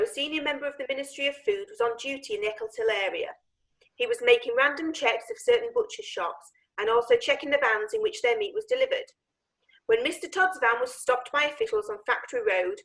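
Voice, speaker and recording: A woman acts a brisk female lawyer with a high, very animated voice. She presents formally and emphatically, as if on stage before a bench. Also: heavily acted, poor audio. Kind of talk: courtroom stage play acting.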